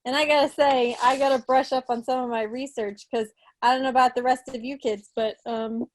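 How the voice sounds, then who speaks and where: A woman's voice: high-pitched, fairly even intonation, fairly quick, a little hiss or hum, low-quality recording. A woman in her thirties chatting casually on a home video call, with a playful, self-deprecating manner. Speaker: club quiz presenter. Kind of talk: casual, chatty club meeting talk. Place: small living room at home, video call. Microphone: video call from a seat in an armchair.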